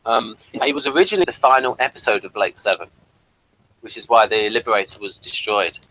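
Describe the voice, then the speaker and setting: A man in a casual fan discussion, co-hosting and sharing trivia in a halting, rambling way with repeated restarts. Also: on the low side, pushed and bright, a little hiss or hum, poor audio. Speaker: podcast co-host.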